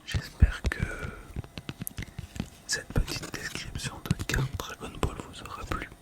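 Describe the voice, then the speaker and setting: A man speaking in a breathy ASMR whisper, gently describing things in an unhurried, slightly hesitant way. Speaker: ASMR video creator.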